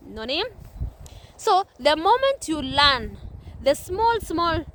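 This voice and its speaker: A woman's voice: high voice, very animated, slow, fairly bright tone, a little hiss or hum. A young woman from Kenya with a Kenyan English accent, vlogging outdoors at a playground in an earnest, repetitive way with many restarts. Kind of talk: casual talk to camera.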